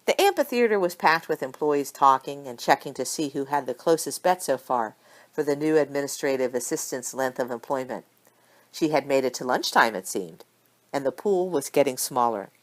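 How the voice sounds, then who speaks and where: A woman reading a story aloud as an amateur audiobook narrator, with expressive delivery. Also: rumbling low.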